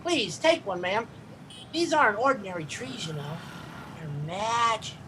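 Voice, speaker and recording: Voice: mid-range voice, big pitch swings, a little slow, background noise. An actor playing a cunning young boy who peddles with earnest, wheedling patter in a radio comedy.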